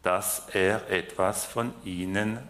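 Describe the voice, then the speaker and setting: Slow-speaking man preaching with a Swiss German accent. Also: low voice, steady tone, fairly bright tone. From France. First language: French. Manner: slow, hesitant, with fillers and restarts. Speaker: lay preacher. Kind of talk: sermon.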